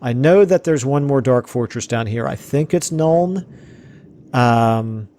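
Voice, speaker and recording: A man commentating his strategy game play casually, thinking aloud with fillers, his voice going hoarse. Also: mid-range voice, slow, mellow, slight background noise. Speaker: let's play host.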